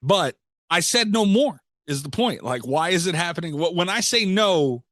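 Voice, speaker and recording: A man's voice: high-pitched, expressive, moderate pace, fairly bright tone, dry recording, studio quality. A man hosting a podcast in casual banter, joking with profane exasperation. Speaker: podcast host.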